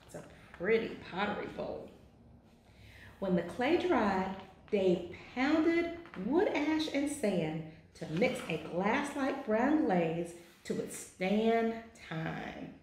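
Slow, echoey woman reading a storybook. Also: medium pitch, animated, a little mellow, a little hiss or hum. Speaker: councilwoman reading a picture book aloud. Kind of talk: children's storybook read-aloud. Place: small indoor office. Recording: very echoey, roomy sound.

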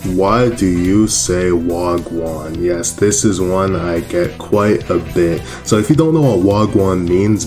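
Teenage boy, deep low voice, chatty and self-mocking, casual vlog talk, faint music underneath. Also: darkish tone. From Canada. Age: teens. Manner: chatty, laughs at himself. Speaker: YouTuber.

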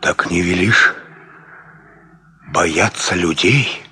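A man's voice: low voice, extremely slow, pushed and bright, slightly rough, exaggerated voice. An actor in a Soviet radio play playing a despairing, guilt-tormented peasant man, speaking in anguished, broken, repeated phrases. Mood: hushed, wondering. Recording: 1950s Soviet radio drama recording.

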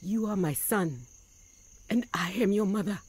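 An actress playing a battered, pleading old mother, fervent and halting, in a radio drama. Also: mid-range voice, a little mellow, husky, no echo. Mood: earnest. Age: older. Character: battered, pleading little old woman, long-lost mother. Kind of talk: emotional radio drama acting.

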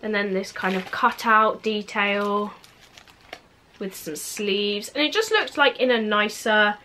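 A young woman talks through a clothing haul in her bedroom, with a bright, mid-pitched voice. She is just getting over a cold and chats loosely, filling her sentences with "like.". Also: slow pace, slight background noise. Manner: chatty, lots of "like" fillers. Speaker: fashion vlogger. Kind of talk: casual clothing haul review. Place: bedroom at home, indoors.

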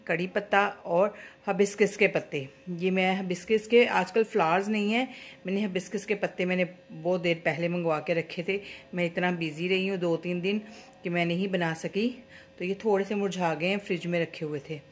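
A woman's voice: medium pitch, fairly even intonation, normal speed. Chatty woman demonstrating a hair oil recipe. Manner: chatty, explains step by step with fillers. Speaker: beauty salon owner. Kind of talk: home cooking-style hair oil tutorial. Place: home kitchen at stove.

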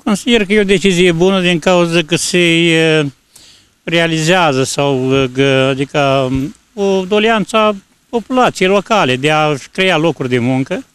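Elderly man, somewhat raised pitch, halting speech, street interview, handheld mic. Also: fairly bright tone, clean tone. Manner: halting, searching for words. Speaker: passer-by interviewee. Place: outdoors on a snowy street. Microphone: reporter's handheld microphone.

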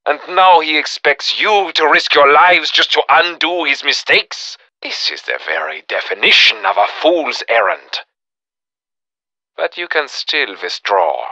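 An actor playing a cold, cruel, fanatical German military officer with a German accent, a theatrical and commanding video game villain. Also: male voice, fairly low pitch, pushed and bright, dry recording, highs cut off, exaggerated voice. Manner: theatrical, commanding, contemptuous, deliberate. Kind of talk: video game villain voice acting, menacing monologue.

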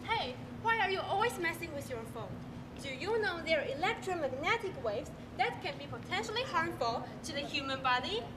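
A woman's voice: very high voice, expressive, brisk. A young woman in a student stage skit, reciting questioning lines. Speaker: student performer. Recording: distant, noisy hall recording.

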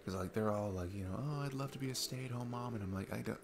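A man's voice: on the low side, fast talker, noisy background, close to an everyday voice. A man playing a sweet, nervous single dad in an intimate ASMR roleplay, rambling and hesitant in a casual, self-deprecating way. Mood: earnest, awkward.

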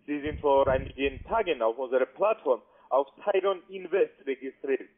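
A man's voice: mid-range voice, normal speed. A man speaking non-native German, making a sales call as an account manager. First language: not German. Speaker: cold caller, investment account manager. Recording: tinny phone line.

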